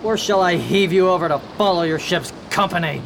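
A man's voice: sits high, fairly quick, creaky, noisy background. A man playing a cruel, mocking pirate captain in a radio drama, theatrical and commanding. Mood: mocking, menacing.

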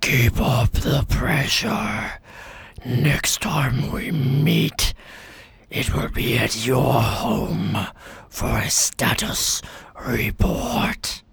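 An actor playing a cold, commanding conspirator mastermind in a science fiction radio drama, speaking in an ominous hoarse whisper. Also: medium pitch, exaggerated voice. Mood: cold, menacing. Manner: slow, ominous, imperious.

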